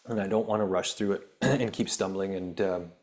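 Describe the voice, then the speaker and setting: A man hosting a spiritual healing podcast, reading an article aloud and then rambling casually with many ums, his voice turning raspy. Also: low-pitched, fairly even intonation, normal speed, darkish tone. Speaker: podcast host.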